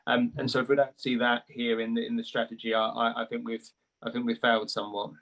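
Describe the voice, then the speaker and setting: A man giving unscripted remarks as a panellist, halting and repeating words as he thinks. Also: medium pitch, flat delivery, creaky, fairly bright tone, a little hiss or hum, low-quality recording.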